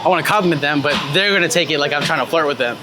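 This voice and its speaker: A man's voice: fairly high pitch, very fast. A man talking informally as he does a casual interview outdoors on a city street. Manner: informal, conversational. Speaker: interviewer, video host. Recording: noisy city street with traffic.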